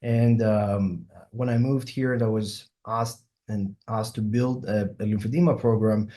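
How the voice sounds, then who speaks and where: A man's voice: on the low side, steady tone, unhurried, warm tone. Greek-accented man presenting, full of ums. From Greece. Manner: hesitant, many ums and uhs. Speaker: plastic surgeon presenting. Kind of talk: online symposium presentation.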